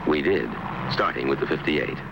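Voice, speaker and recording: A man's voice: very deep voice, moderate pace, creaky, highs cut off. A man narrating a vintage 1960s television commercial as its announcer. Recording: noisy vintage TV commercial audio.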